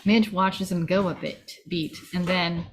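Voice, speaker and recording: A woman's voice: lowish voice, steady tone, a little slow, a little hiss or hum. A woman hosting an informal script read on a video call, reading and chatting casually. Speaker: table-read host reading stage directions. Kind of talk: amateur script narration and casual group chat.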